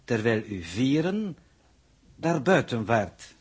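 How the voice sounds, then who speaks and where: A man playing a calm, pedantic scientific coordinator who lectures formally and condescendingly in a 1960s radio drama. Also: mid-range voice, slow pace, slightly rough, full character voice. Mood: measured, explanatory. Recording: muffled-edged vintage 1960s radio recording.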